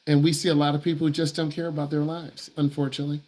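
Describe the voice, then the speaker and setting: A man's voice: fairly high pitch, little pitch movement, racing, darkish tone. A man who works as a driving instructor answers viewer questions on a live stream in a blunt, emphatic way. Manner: blunt, emphatic, repeats himself for stress.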